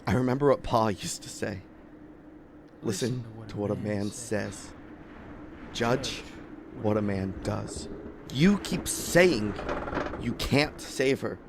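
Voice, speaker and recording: A man's voice: on the low side, takes it slowly, gravelly, pauses often, background noise. A man playing an emotional, frustrated, plain-spoken human in a fan radio play. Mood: weary. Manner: emotional, colloquial.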